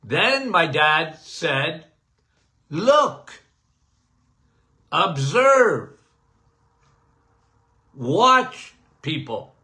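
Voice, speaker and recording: An older male doctor talks in a high, bright, ringing voice. He takes his time, pausing between phrases and checking in with "okay?" as he gives a casual, emphatic health chat. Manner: animated, emphatic, repeats "okay?"; says he has a loud voice. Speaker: podcast host, doctor.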